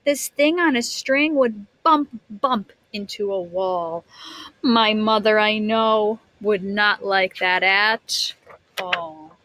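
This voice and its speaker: A woman's voice: medium pitch, slow, bright, forceful tone, low-quality recording. A woman teacher reading a picture book aloud to young children, expressively, stretching words and putting questions to her listeners.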